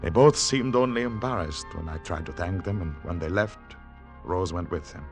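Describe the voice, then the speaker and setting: An actor playing an earnest foreign agent on the run, speaking gravely and dramatically in 1940s radio style. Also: male voice, deep voice, normal speed, faint music behind, close to an everyday voice. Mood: grave, tense.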